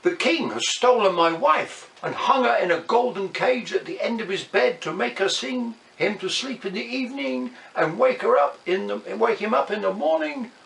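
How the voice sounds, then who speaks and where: A man voicing a small, brave male blackbird who speaks high and insistently. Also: lively intonation, moderate pace, vocal fry, few pauses, a bit bright, exaggerated voice. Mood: earnest. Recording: echoey room, mic at a distance.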